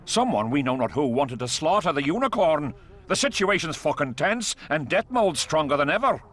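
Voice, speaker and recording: A man's voice: a little high, big pitch swings, normal speed. An actor playing a blunt, dismissive male noble officer in a fantasy game. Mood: heated. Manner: forceful, heated.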